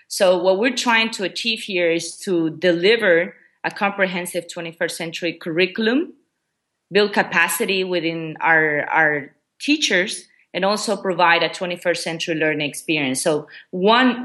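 A woman's voice: lowish voice, fairly bright tone. Ecuador-born woman, hesitant interview answers. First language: Spanish. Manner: hesitant, many ums and restarts. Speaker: guest, education coordinator.

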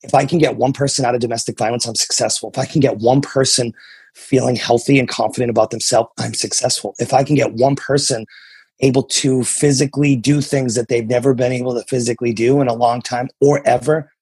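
American male coach, husky with a creaky edge, earnest and emphatic in conversational motivational delivery. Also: mid-range voice, steady tone, brisk, darkish tone, dry recording. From New England, USA. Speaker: coach and entrepreneur, interview guest.

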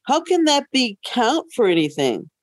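A woman's voice: on the high side, very animated, normal speed, no echo. Female co-host, casual and emphatic talker who cuts into her own sentences, dry close recording. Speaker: podcast co-host. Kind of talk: casual relationship chat.

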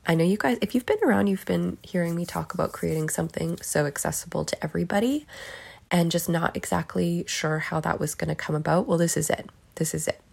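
A woman in her thirties hosting her own podcast solo, gushing and affectionate, swearing casually, hoarse as she is losing her voice. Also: deep voice, a little mellow. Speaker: podcast host.

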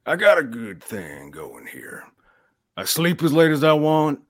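A man's voice: a little high, huge pitch swings, a little slow, dry recording, studio quality, full character voice. A man playing a cocky, beer-loving mutant strongman with a slangy, dismissive manner in a live radio play. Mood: smug, dismissive.

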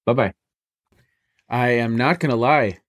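A man's voice: medium pitch, a little slow, no echo, studio quality. A man hosting a conversational interview, appreciative and affirming, often repeating his phrases. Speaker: interview host. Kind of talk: conversational long-form interview.

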